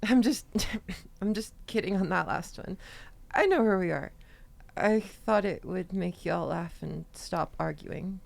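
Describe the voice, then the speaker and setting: Woman as an injured student, slow and creaky. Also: lowish voice, lively intonation, heavily acted. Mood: mild, pleading. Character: injured, shivering young student Alfie, joking and gentle. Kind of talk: amateur audio drama acting.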